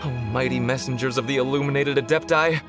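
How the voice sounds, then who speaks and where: A man's voice: mid-range voice, steady tone, fast talker, music in the background, lightly acted. A man playing a desperate, frightened poor treasure hunter in a video game, pleading and overwrought. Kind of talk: video game character acting.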